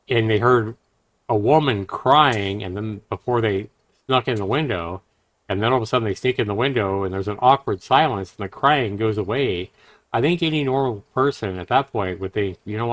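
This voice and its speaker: A man's voice: on the low side, a bit bright, no echo. A man streaming a game, reading a visual novel aloud and adding casual commentary.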